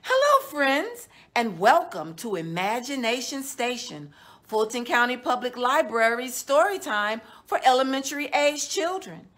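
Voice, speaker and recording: A middle-aged woman, a children's storytime host, reading a picture book aloud in a measured, deliberate way with drawn-out words. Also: a little high, sing-song, a bit bright. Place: indoors, small decorated room.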